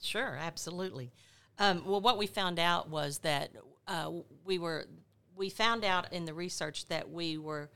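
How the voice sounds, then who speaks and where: Woman with a gravelly, bright voice. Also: fairly low pitch. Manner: halting, frequent "uh" restarts while recalling details. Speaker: nurse educator and health advocate. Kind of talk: conversational podcast interview.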